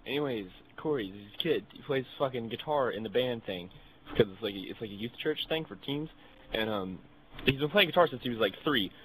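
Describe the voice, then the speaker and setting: A man's voice: medium pitch, brisk, rough recording. A young man casually telling a funny story to friends, full of swearing, slang and quoted dialogue. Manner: profane, slangy, animated retelling with quoted dialogue. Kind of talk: casual comedic anecdote among friends.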